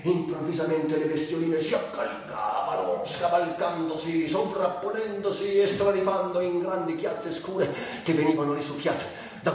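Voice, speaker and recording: An actor playing a tormented, delirious man narrating his own death in a declamatory radio-theatre monologue that moves between hushed and shouted delivery. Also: a little high, close to an everyday voice. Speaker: stage actor. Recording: very echoey, distant, music behind.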